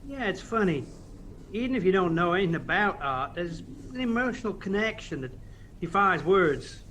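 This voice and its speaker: A man's voice: sits high, big pitch swings, moderate pace, a bit bright, slight background noise, low-quality recording. A man acting in an audio play as an earnest, reflective embassy official with a conversational manner.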